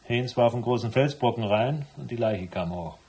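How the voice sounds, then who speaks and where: An actor playing a cool, laconic private investigator who narrates his case in a radio drama, with a husky voice. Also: medium pitch, animated, normal speed, darkish tone. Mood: matter-of-fact. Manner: laconic, matter-of-fact.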